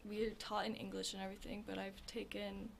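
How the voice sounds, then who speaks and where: A teenage girl answering interview questions softly, leaning heavily on "like" and other fillers. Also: medium pitch, flat delivery, a little fast, creaky, slight background noise. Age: teens. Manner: soft-spoken, filler-heavy with frequent "like". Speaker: interview guest. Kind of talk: casual interview.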